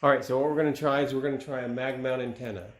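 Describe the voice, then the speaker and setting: A man's voice: medium pitch, steady tone, rapid-fire. A man setting up antennas and coaching others in radio procedure in a practical, instructive way. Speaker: radio setup helper. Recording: echoey room, distant mic, light noise.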